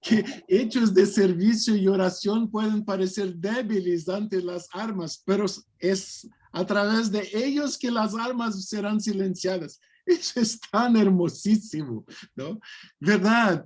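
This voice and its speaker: A man with a fairly high voice talks slowly and thoughtfully. He speaks in a casual online study group over a video call, with gentle laughs along the way. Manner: slow, warm and reflective, with soft laughs. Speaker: speaker leading a faith study talk.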